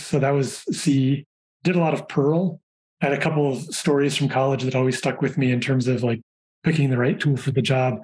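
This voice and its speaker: A man in his forties, a software engineer guest on a podcast, telling chatty anecdotes in a relaxed way. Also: medium pitch, rough recording. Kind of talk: casual conversational interview.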